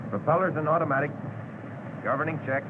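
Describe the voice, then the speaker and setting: A man with an American accent narrating a 1940s instructional training film in a measured, instructive manner. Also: on the high side, steady tone, phone-quality sound. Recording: noisy 1940s film soundtrack.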